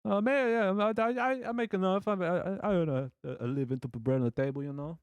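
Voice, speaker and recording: A man voicing an exaggerated, halting Italian blacksmith in an improvised tabletop roleplay, with a put-on Italian accent. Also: high-pitched, clear, resonant tone, no room sound, voice pushed up. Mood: defensive, shrugging. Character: hammy cheerful Italian blacksmith turning New York gangster.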